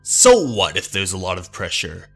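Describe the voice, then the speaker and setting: A man's voice: low voice, huge pitch swings, moderate pace, a bit bright, faint music behind, pitched below the performer's own voice, full character voice. Man acting a stern, burdened middle-aged father. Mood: irritated. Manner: firm, emphatic, dismissive. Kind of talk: horror manga dub.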